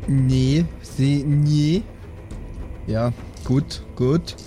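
Male tabletop player, southern German or Austrian accent, mellow mid-pitched voice, chatty and reasoning aloud, music behind. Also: steady tone, drawn-out words. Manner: thinking aloud, seeking agreement with tag questions. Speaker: player in a role-playing game podcast. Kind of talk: casual table talk.

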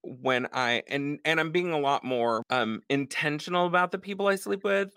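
A woman's voice: low voice, normal speed, a bit bright, smooth, no room sound, studio quality. A woman hosting a podcast, talking candidly and jokingly about her past and laughing often. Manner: frank, self-mocking, laughs often. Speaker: podcast host. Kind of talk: candid comedic chat.

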